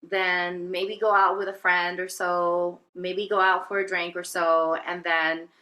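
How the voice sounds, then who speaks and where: A woman's voice: on the low side, flat delivery, measured pace, sharp tone, rough recording. A woman from Venezuela, a guest telling her life story in an interview, hesitant with many fillers and restarts. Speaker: guest, spiritual healer. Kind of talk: reflective personal interview talk.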